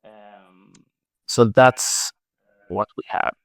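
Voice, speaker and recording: A man from Sweden, a creator answering questions in a casual live audio chat, halting and full of restarts. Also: a bit bright, dry recording. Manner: halting, many restarts and fillers. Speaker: art project creator, writer-director.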